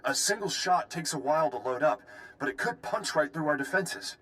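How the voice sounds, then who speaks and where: Forceful military warden, bright and tense. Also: male voice, on the high side, lively intonation, poor audio. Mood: brisk, businesslike. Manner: brisk, military briefing delivery. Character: gruff, duty-bound male prison station warden officer. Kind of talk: video game voice acting.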